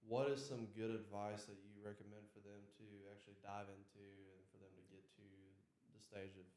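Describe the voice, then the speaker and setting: A man's voice: lowish voice, level tone, darkish tone, a little hiss or hum. A man hosting a podcast, chatting casually and teasing his guest. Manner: teasing, jokey, drawn-out. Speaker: podcast host. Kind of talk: casual chat. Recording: echoey room.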